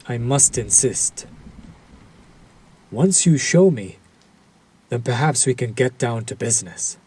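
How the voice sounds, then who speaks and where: A male actor as a possessive vampire lord in an intimate roleplay drama. His voice is dark and breathy, and he draws out his words with slow, silky courtesy. Every phrase is theatrical, condescending and faintly predatory. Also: medium pitch. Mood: insistent, mocking. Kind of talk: dramatic roleplay audio addressed to the listener.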